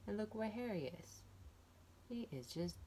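A young woman reading a picture book aloud to small children in a playful, expressive storytelling style with little asides. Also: mid-range voice, unhurried, a little hiss or hum, low-quality recording. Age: young adult. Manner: playful, expressive, exclaiming and questioning. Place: indoors, wood-ceilinged room.

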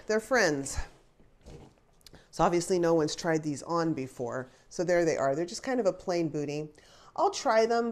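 A woman's voice: mid-range voice, darkish tone, slight background noise. A woman in her fifties, a little stuffy from congestion, chatting casually as she unboxes and tries on clothes, on a lapel mic in a small bedroom. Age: 50s. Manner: chatty, thinking aloud with small laughs. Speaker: fashion try-on vlogger. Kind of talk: casual unboxing and try-on chat. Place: small pink bedroom, indoors. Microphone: clip-on lapel mic.

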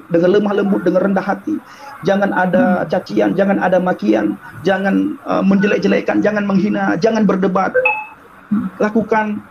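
A man's voice: high voice, vocal fry. A man, giving an earnest, repetitive personal testimony on a video call, restarting his sentences and often addressing the host. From Aceh, Indonesia. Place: small room indoors. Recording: dull lo-fi video call, faint background noise.

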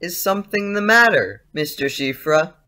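An actor playing a dreamy, insolent schoolboy with a theatrical, poetic way of talking in an audio play. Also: male voice, sits high, animated, bright, forceful tone. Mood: innocently insolent. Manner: slow, theatrical recitation. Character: dreamy, insolent schoolboy reciting eerie old poetry. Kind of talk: audio drama acting, reciting poetry.

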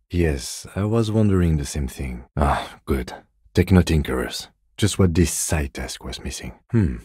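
An actor playing a composed, dry-witted police lieutenant in a video game, measured and formal, with a husky voice. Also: male voice, very deep voice, mellow, no echo, full character voice. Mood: composed, serious. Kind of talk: scripted game dialogue.

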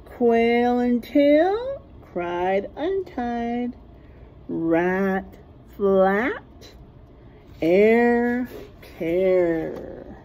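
A middle-aged woman reading a rhyming picture book aloud like a teacher to young children, sing-song and deliberate, stressing rhymes and letters. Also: on the high side, lively intonation, mellow, dull audio, slight background noise. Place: small classroom, indoors.

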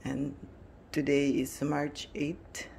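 A woman giving a casual, halting tour of her newly finished basement, filming on a phone indoors. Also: sits low, completely flat delivery, creaky, a little mellow, slight background noise. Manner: hesitant, many restarts and fillers. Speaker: home vlogger. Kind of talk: casual home tour narration.

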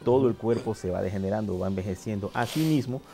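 A man in his forties, a doctor guest on a TV health show, explaining treatments in a steady, methodical way with a lapel mic in a studio. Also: on the low side, fast talker, gravelly, soft background music. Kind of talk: medical explanation.

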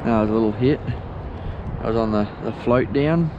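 A middle-aged Australian man giving relaxed, chatty fishing commentary by a lake, filmed on a head-mounted action camera. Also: mid-range voice, muffled recording. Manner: relaxed, chatty, unhurried with fillers. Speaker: fishing vlogger. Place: outdoors by a lake shore. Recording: outdoor noise, wind and water.

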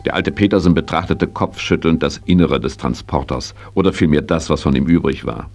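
An actor as the storyteller narrating a children's tale in measured, scripted style. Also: male voice, extremely low pitch, vocal fry, a little mellow, full character voice.

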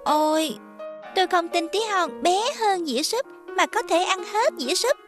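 A woman voicing a kind-hearted little peasant girl in an animated fairy tale. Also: very high voice, moderate pace, soft background music. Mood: kind, curious.

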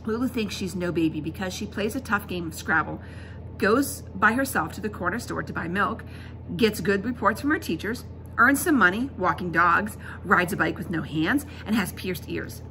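A middle-aged woman reading a children's book aloud as a teacher, expressive, emphatic and instructive. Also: mid-range voice, moderate pace, poor audio. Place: indoors in a school classroom. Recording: classroom recording with background noise.

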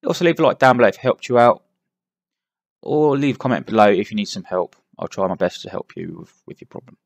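A man's voice: fairly low pitch, animated, fast talker, vocal fry, no echo. A man hosting a casual tech walkthrough, chatting to viewers in a friendly way into a headset mic. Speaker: tech tutorial host. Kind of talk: casual screen-recorded walkthrough. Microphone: close headset microphone.

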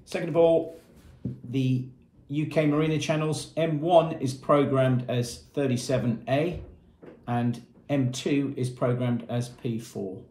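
A man's voice: mid-range voice, steady tone, a little slow, warm tone. A man in his fifties giving a plain, methodical product walkthrough in short phrases, as an informal presenter. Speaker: product presenter for a marine equipment retailer. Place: small indoor room with painted block walls, seated at a table. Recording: echoey small room.

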